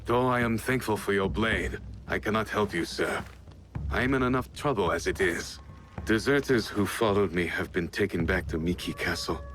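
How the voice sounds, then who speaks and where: An actor playing a loyal, weary ronin in a video game, speaking earnestly in formal, measured phrases. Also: male voice, lowish voice, fairly bright tone, faint music behind, natural voice. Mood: earnest, troubled. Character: loyal, weary male ronin, gruffly honorable outcast warrior. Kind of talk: video game cutscene acting.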